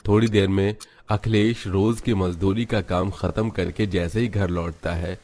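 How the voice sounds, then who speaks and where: Deep-voiced male story narrator. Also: fairly even intonation, measured pace, darkish tone. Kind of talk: scripted dramatic narration of a cartoon story.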